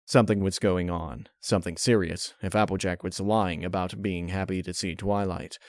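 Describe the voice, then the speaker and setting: A man reading a dark fan fiction story as narrator, in a measured, dramatic way. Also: deep voice, fairly even intonation, no echo, clean studio sound. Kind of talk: dark audiobook-style narration.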